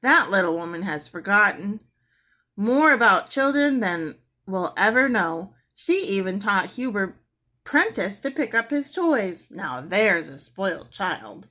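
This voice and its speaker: A woman's voice: mid-range voice, sing-song, a little slow, sharp tone, heavily acted. A woman voicing a folksy, plain-spoken housemaid in a storybook, chatty and colloquial.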